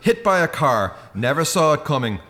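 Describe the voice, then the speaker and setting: Lively-pitched, stern Irish spirit guide. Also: male voice, heavy background noise. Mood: stern accusing. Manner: wry, pointed, deliberate. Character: stern, knowing spirit guide, dryly reproachful. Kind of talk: radio play acting.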